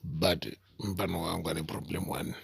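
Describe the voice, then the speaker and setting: Deep gravelly Zimbabwean man as a street-wise guy. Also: flat delivery, moderate pace, slight background noise. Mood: boastful, knowing. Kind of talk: comedy cartoon dialogue.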